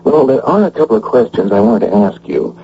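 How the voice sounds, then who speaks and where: An actor playing a streetwise, wisecracking police detective in a radio drama, with colloquial talk. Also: male voice, medium pitch, lively intonation, fairly quick, husky. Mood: reassuring. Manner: colloquial, hesitant then cocky. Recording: dull, humming old radio broadcast recording.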